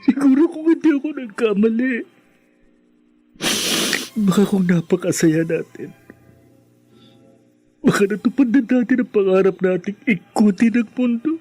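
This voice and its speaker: A broken, remorseful Filipino husband in prison. His voice is rough and raised, halting and emotional, over radio drama music. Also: high-pitched, animated, mellow. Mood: tearful remorse. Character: remorseful imprisoned husband and father, broken and ashamed.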